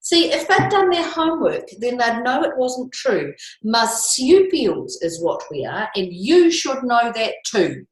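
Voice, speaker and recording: A grumpy male koala from a picture book , put on by a woman reading aloud. He protests bluntly and indignantly in slangy speech, with a very animated, raised pitch. The room rings around the voice. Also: measured pace, few pauses, reverberant, poor audio.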